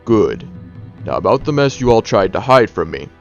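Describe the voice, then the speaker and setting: An actor playing a stern, measured older male village leader in a formal, deliberate way for an audio drama. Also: fairly low pitch, very animated, creaky. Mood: businesslike. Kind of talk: fan audio drama acting. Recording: dull lo-fi sound, faint music behind.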